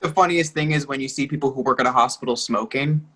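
A man's voice: medium pitch, fast, a bit bright, breathy edge. An actor playing a brash, over-familiar man out at a bar in a play reading, chummy, teasing and pushy. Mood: chatty, probing.

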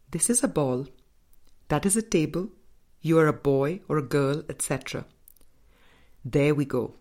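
A woman reading a spiritual book as scripted narration, in a measured, deliberate manner with frequent pauses. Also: low voice, a little mellow. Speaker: audiobook reader.

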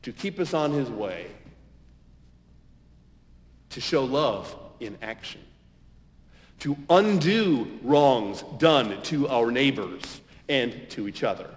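A man preaching a homily as a pastor, with emphatic, deliberate phrasing and dramatic pauses. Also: medium pitch, expressive, slight background noise. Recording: dull, muffled sound with long reverberation.

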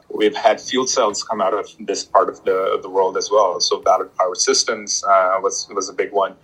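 Husky, halting man, low voice. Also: fairly bright tone, rough recording. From Pakistan. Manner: halting, many restarts and fillers. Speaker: guest. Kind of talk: casual interview chat.

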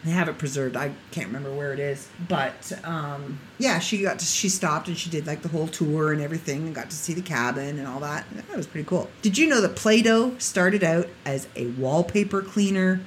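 A woman hosting a casual solo podcast, chatty and joking, her voice getting a little hoarse. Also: low voice, brisk, few pauses, slight background noise. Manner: chatty, jokey, laughs at own asides. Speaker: podcast host.

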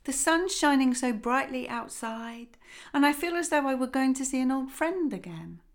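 A woman's voice: high-pitched, expressive, normal speed, pitched above the performer's own voice. A woman voicing a cheerful, oblivious father who gushes with dreamy delight. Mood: blissful. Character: cheerful, oblivious father, middle-aged man.

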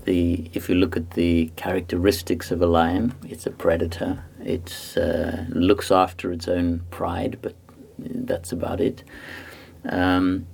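A man from Zimbabwe, a guest author, giving measured, explanatory answers in a podcast interview, with many pauses and fillers. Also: low-pitched, level tone, vocal fry, a little mellow, a little hiss or hum.

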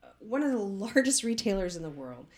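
A woman playing a frank, skeptical doctor in a comedy radio play, speaking in a deliberate, incredulous way. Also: mid-range voice, sing-song. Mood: baffled. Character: frank, skeptical female doctor, wearily scolding her patient.

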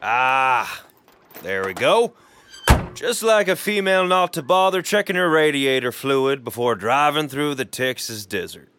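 A man's voice: mid-range voice, sing-song, unhurried, sharp tone, a little hiss or hum. A man playing a brooding, condescending cowboy in an audio drama, with an American accent and a dismissive drawl. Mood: gruff, condescending.

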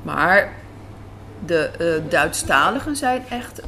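A woman's voice: lowish voice, big pitch swings, takes it slowly, sharp tone. A woman giving thoughtful, conversational interview answers, searching for words as she goes. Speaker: interview guest, writer and director. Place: on location at an Amsterdam café and square. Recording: noisy on-location recording with city ambience.

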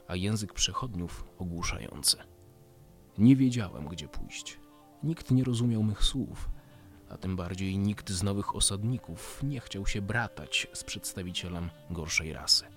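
A man's voice: lowish voice, lively intonation, moderate pace, a bit bright. Man playing a weary, brooding dystopian survivor over music. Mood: bitter, weary. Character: weary embittered male survivor in a dystopian world. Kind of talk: scripted first-person radio drama monologue.

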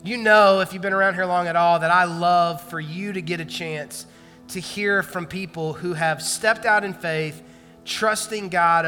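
A man's voice: high-pitched, sharp tone, big-room sound. A man, a pastor, making casual conversational church announcements and introducing a guest, with loose fillers and restarts. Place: large church auditorium.

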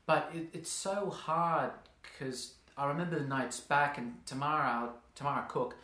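A man's voice: a little high, fairly even intonation, unhurried, pushed and bright, echoey room. A man, interviewing casually, rambling and searching for his words. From Australia.

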